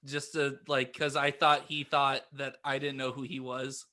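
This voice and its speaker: A man's voice: medium pitch, level tone, measured pace, pushed and bright. A man co-hosting a podcast, chatting casually with self-deprecating jokes. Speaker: podcast co-host. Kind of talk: casual bantering chat.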